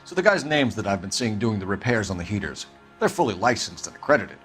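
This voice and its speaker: Intense clipped police detective, animated pitch. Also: male voice, fast talker, faint music behind. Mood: probing, businesslike. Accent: American. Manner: clipped, interrogating. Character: intense, clipped, accusing male arson detective. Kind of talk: video game dramatic acting.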